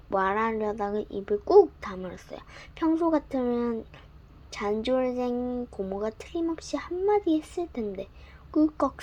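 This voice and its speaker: A woman narrating a bedtime storybook in a gentle, expressive reading style. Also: fairly high pitch.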